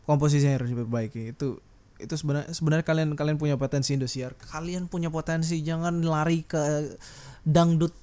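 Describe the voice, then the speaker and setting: Indonesian-accented man, slightly high pitch, solo podcast host, unscripted rant, blunt, rambling, slangy. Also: fairly even intonation, a little fast, warm tone, clean tone. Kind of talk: unscripted casual ranting monologue.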